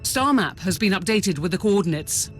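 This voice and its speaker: A woman's voice: mid-range voice, animated, normal speed, soft background music, lightly acted. Woman acting an earnest sci-fi game heroine. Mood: concerned, formal. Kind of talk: dramatic video game voice acting.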